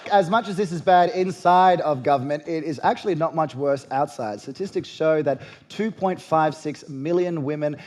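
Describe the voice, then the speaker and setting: Australian male late-night host, young adult, mid-high voice, sardonic stand-up monologue delivery. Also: normal speed, hardly any pauses, darkish tone. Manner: sardonic, punchy delivery with rising punchlines. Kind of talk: satirical comedy monologue.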